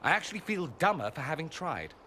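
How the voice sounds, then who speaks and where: A man's voice: on the high side, big pitch swings, fast talker, bright, forceful tone, slight background noise. An actor voicing a mocking male mage in a video game, sardonic and dry.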